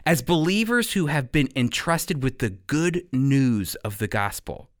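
A man's voice: medium pitch, animated, unhurried, no room sound, studio quality. A man preaching as a radio Bible teacher in a conversational sermon style, earnest and emphatic.

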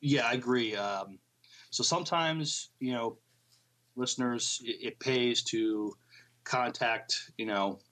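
A man co-hosting a podcast, reading out entertainment news and commenting in a somewhat halting, unpolished way. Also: mid-range voice, bright, forceful tone, poor audio. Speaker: podcast co-host.

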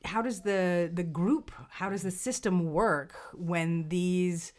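A woman's voice: fairly low pitch, slow pace. A woman co-hosting a casual conversation, thinking aloud and posing questions. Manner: thoughtful, poses questions, lively emphasis. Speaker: podcast co-host.

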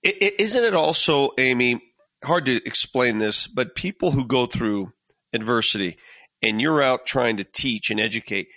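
Bright-voiced male interviewer. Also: mid-range voice, moderate pace, dry recording. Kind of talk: conversational interview.

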